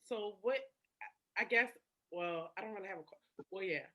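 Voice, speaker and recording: A woman's voice: medium pitch, normal speed, pushed and bright. A woman hosting a candid podcast conversation, slangy and emphatic, often repeating her phrases.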